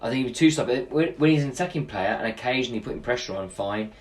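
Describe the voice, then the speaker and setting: A man co-hosting a football podcast, thinking out loud in casual chat with plenty of fillers and restarts. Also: mid-range voice, lively intonation, racing, recorded from a distance. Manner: chatty, rambling analysis with fillers. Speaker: podcast co-host.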